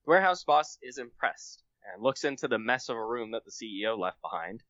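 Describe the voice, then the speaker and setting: A man reads his own comic story aloud on a podcast. His voice is bright and sharp, recorded very dry and close, with a slightly lo-fi, dulled edge. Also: medium pitch, expressive, fast, no room sound. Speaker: podcast guest, amateur writer.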